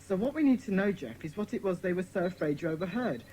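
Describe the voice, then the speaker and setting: An actor as Peter, earnest British schoolboy leader, lively. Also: medium pitch, quick pace, slight background noise, close to an everyday voice. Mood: bossy, reassuring. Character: Peter, bossy, kindly boy leader of children's secret club. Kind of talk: dramatised children's audiobook acting.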